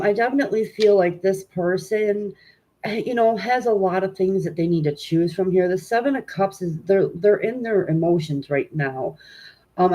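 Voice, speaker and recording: A woman with a stuffy, congested nose hosting a tarot reading live stream at home, chatting informally with viewers and filling pauses with "um". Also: lowish voice, mellow, rough recording. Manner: informal and chatty, many "um" fillers, greets viewers. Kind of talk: casual live-stream chat and card reading.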